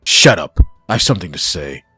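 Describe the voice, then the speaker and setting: An undead hellspawn antihero speaks in an extremely deep, husky growl. He is menacing and commanding, as in a comic book audio drama, with light music underneath. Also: sing-song, normal speed, bright, forceful tone, no echo, full character voice. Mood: threatening, commanding.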